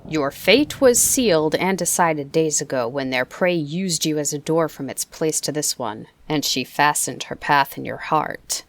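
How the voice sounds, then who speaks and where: A woman voicing a menacing dead man in a dinner jacket, with a South African accent and a sharp, coaxing, taunting way of speaking. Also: deep voice, lively intonation, unhurried, hardly any pauses, dry recording. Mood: cold taunting.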